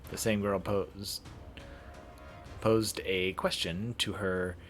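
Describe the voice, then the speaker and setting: A man streaming a visual novel playthrough, reading it aloud in a halting, dramatic way and correcting himself. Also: sits low, fairly even intonation, a bit bright, faint music behind.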